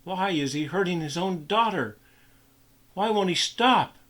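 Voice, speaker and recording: A man raises his voice to play a scared, soft-hearted young boy. The voice sits well above the man's own and sounds tearful and shaky. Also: very animated, normal speed. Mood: horrified. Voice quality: high, tearful.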